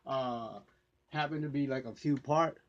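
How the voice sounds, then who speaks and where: A man's voice: medium pitch, a little slow. Man rambling to a phone camera. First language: Vietnamese. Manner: rambling, many fillers and restarts. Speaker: vlogger. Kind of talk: casual talk to camera. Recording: dull lo-fi phone audio.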